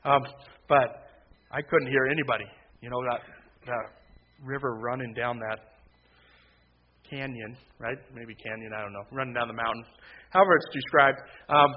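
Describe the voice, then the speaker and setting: Conversational man preaching with a flat delivery. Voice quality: loud voice. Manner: conversational preaching with rising emphatic bursts. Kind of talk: sermon. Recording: slightly dull low-fi sound with faint background noise.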